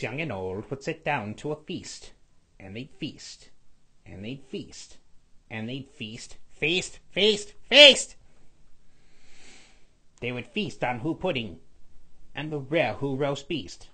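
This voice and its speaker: Man putting on a raspy gloating skeleton villain, sniffly, slow unhurried reading, swooping lively pitch. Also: heavily acted. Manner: sing-song storybook reading, drawn-out repeated words. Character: scheming skeletal male villain lord, theatrical and gloating. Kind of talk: storybook read-aloud.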